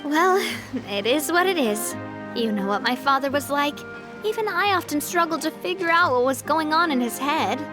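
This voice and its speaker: A woman playing a poised, warm-hearted young female leader in an English video game dub, speaking in a reflective, earnest way. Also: high-pitched, animated, moderate pace. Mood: wistful, matter-of-fact. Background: music.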